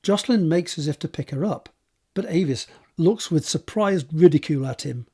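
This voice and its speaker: A man's voice: fairly high pitch, normal speed, dark tone, breathy edge. A man reading scripted stage directions as the narrator of an audio drama.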